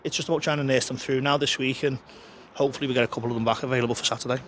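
A man, a football manager giving a frank, chatty post-match interview outdoors by the pitch into a handheld mic, often restarting his sentences. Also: steady tone, rapid-fire, a bit bright, slight background noise. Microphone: handheld interviewer mic held close.

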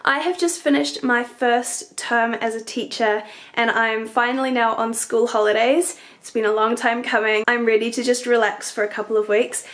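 A young woman with a New Zealand accent talks through her outfits in a relaxed, upbeat way. Her fairly high voice sounds scratchy and stuffed up from a cold. She is recorded on a camera mic in her bedroom. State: hoarse and congested from a cold. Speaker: fashion vlogger and teacher. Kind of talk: casual outfit vlog. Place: bedroom with a mirror, indoors. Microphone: camera's built-in mic, filmed in the mirror.

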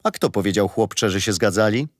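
An actor playing a patient, gentle grandfather telling children a history story in a radio play. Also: lowish voice, expressive, brisk, no echo, clean studio sound. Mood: patient, fond. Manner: gentle, didactic.

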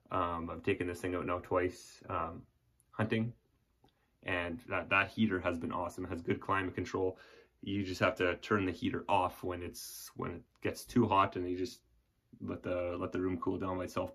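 A young man giving a casual, rambling walkthrough of a small wooden shack, filmed on a handheld phone held at arm's length. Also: deep voice. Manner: relaxed, rambling, frequent restarts and fillers. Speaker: vlogger. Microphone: handheld phone camera at arm's length.

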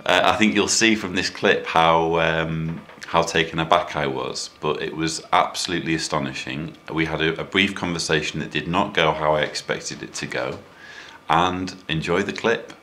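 Deep, bright-voiced British man. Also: normal speed, a little hiss or hum. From United Kingdom. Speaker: vlogger. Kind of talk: casual commentary to camera. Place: small indoor room at a desk.

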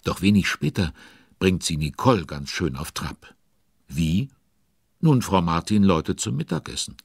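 A man playing a chatty storyteller in a children's audio drama, with an expressive, conversational manner. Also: low-pitched, moderate pace, vocal fry, slightly rough, no echo, clean studio sound, exaggerated voice. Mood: engaged storytelling. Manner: conversational, expressive, asking questions. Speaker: narrator.

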